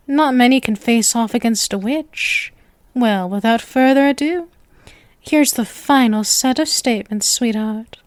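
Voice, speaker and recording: A woman's voice: high-pitched, darkish tone, dry recording, clean studio sound. A woman voicing a sweet, lovestruck, clingy witch girlfriend in an ASMR roleplay, speaking to the listener in a coy, nervous, gushing and intimate manner. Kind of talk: ASMR roleplay, scripted acting addressed to listener.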